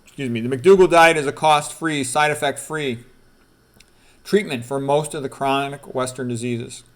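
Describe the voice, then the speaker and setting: A man's voice: medium pitch, fairly even intonation, moderate pace. Middle-aged male doctor, emphatic informal presenter. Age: middle-aged or older. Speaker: doctor presenting quotes from a book. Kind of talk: informal read-aloud with commentary.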